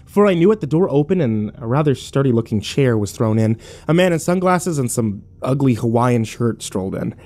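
A man's voice: medium pitch, lively intonation, fairly quick, few pauses, dark tone, natural voice. An actor playing a weary, irritable hospital patient who tells his story in a sullen, sardonic first-person horror narration. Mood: weary, brooding.

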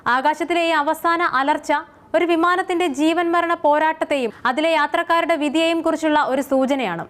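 A woman's voice: sits high, steady tone, normal speed, a bit bright. A woman reading a scripted explainer as its narrator.

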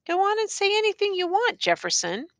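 A woman's voice: very high voice, very animated, a little fast, no echo, voice pushed up, exaggerated voice. A woman voicing an earnest, exasperated male schoolteacher who pleads insistently in educated diction in an audiobook story.

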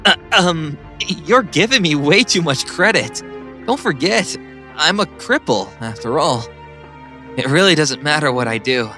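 A man's voice: fairly high pitch, animated, measured pace, sharp tone, soft background music. A man voicing an awkward, meek teenage schoolboy in a comic dub, hesitant and apologetic. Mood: earnest, self-deprecating. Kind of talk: dramatic comic dub acting.

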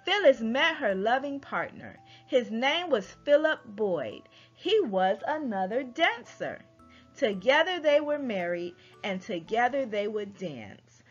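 A woman narrates a rhyming picture book for children at an unhurried pace. Her delivery is expressive, with animated rises and falls. The recording is slightly muffled, with music softly behind her. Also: a little high. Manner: expressive, deliberate storytelling delivery. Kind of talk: read-aloud rhyming children's story narration. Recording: dull lo-fi audio with music behind.

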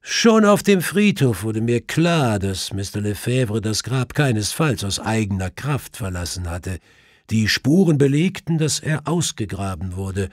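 A man's voice: on the low side, very animated, measured pace, no echo, studio quality. A man voicing a clever, self-assured male detective who explains things precisely in an audiobook. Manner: precise, explanatory, faintly mischievous.

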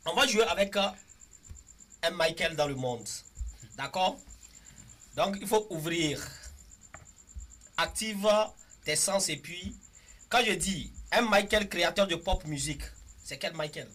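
A man hosting a radio game show, quizzing and teasing his guests in lively banter. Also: sits high, unhurried, long pauses. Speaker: radio quiz host.

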